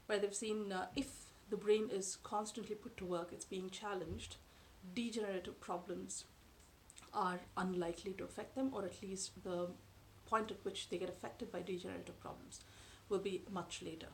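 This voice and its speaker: A woman in her thirties whose first language is Tamil, talking to camera from a sofa in her living room in a measured, thoughtful way with frequent pauses. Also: medium pitch, steady tone, a little hiss or hum, rough recording. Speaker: vlogger. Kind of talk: explanatory talk to camera. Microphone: camera in front at close range.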